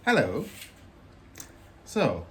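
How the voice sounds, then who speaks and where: A man from Dominica with a Dominican accent, explaining in a relaxed, joking way as co-host of a casual vlog. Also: mid-range voice, very slow, slight background noise.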